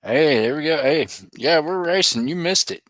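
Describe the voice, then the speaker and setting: A man's voice: on the high side, animated, normal speed, a bit bright, no echo, clean studio sound. A middle-aged man hosting a live auction stream, chatting and joking with viewers by name. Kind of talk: casual livestream chat.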